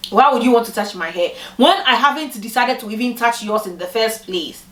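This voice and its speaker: A young woman from Ghana telling personal stories to camera in a lively way, acting out what other people said. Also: a little fast. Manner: animated, acts out quoted people with big pitch jumps. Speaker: vlogger. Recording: echoey room, voice from a distance.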